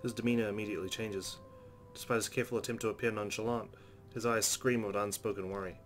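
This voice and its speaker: A man reading first-person visual novel narration aloud as a let's player, in an earnest, expressive storytelling style. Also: fairly low pitch, fast talker, slight background noise. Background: soft game music.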